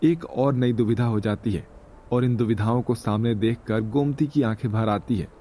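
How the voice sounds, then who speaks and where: A man serves as narrator of a moral tale. His voice sits at an average male pitch, and he reads from a script in a storytelling style. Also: steady tone, moderate pace, mellow, slight background noise.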